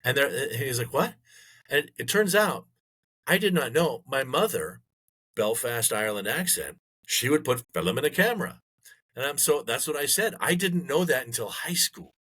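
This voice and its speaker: A middle-aged man co-hosting a podcast, chatting casually and telling jokey stories with lively retellings. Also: medium pitch, moderate pace, slightly rough, rough recording. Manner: joking storyteller, animated retellings. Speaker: podcast co-host. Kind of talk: casual chat.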